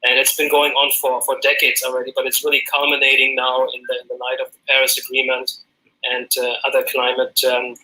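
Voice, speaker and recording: A man with a German accent speaks as a guest on a remote call. His voice is bright and sharp but stays quite level in pitch. He talks earnestly, with many pauses and restarts. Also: monotone, poor audio. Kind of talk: interview answers. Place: Los Angeles, remote call.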